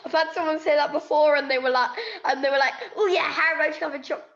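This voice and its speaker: Young female host, bright high voice, upbeat quickfire questions, echoey muffled recording. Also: fairly even intonation. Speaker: interviewer and host of the series. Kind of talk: casual interview questions. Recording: echoey, dull lo-fi sound.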